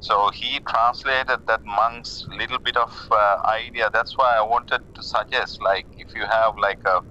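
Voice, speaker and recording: Sri Lankan man, forties, hesitant conversational delivery, bright edgy tone, somewhat low pitch, on a dull line. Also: steady tone. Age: 40s. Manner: hesitant, halting, repeats words. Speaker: caller, lay Buddhist practitioner. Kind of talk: casual conversation about personal spiritual path. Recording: muffled phone call with rumbling noise.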